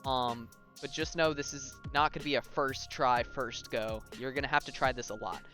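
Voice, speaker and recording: A young man with a mid-pitched voice talks through a gaming tutorial in a casual, informal way. He addresses viewers directly and checks in as he explains. Faint music plays behind him. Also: steady tone, moderate pace, bright, forceful tone. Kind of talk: casual video game tutorial commentary.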